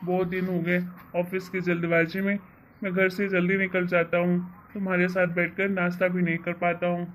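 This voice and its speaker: A woman voicing a calm, reassuring working husband and elder brother in a family story. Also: lowish voice, normal speed, a little hiss or hum, lightly acted, low-quality recording. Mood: mild, affectionate. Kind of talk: acted dialogue in Hindi family story.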